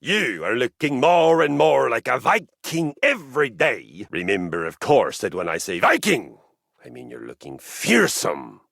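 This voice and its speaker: A boisterous male warrior trainer from a video game, grandiose and sentimental about violence. His pitch leaps dramatically from phrase to phrase. He speaks slowly and emphatically, with deliberate pauses, in a very dry, close sound. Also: gravelly, no echo, full character voice. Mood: proud, warm. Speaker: game character, fitness trainer. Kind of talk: scripted video game character voice acting.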